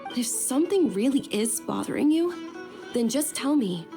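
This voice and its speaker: A genteel young lady, caring but insistent, in a video game. Her voice is fairly high and swings expressively as she makes earnest appeals. Soft music sits behind her. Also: sing-song, moderate pace. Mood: concerned, insistent. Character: caring, insistent, well-bred young lady, mercenary's close friend.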